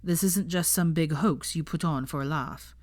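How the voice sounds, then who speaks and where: A woman's voice: low voice, normal speed, darkish tone, no room sound, close to an everyday voice. A woman voicing a haughty, sardonic young male wizard with a drawl in a story reading. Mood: incredulous.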